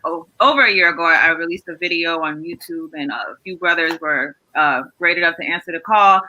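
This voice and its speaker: A man's voice: high voice, bright, forceful tone, low-quality recording. A man hosting an informal panel discussion, addressing the group and handing out questions. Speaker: host.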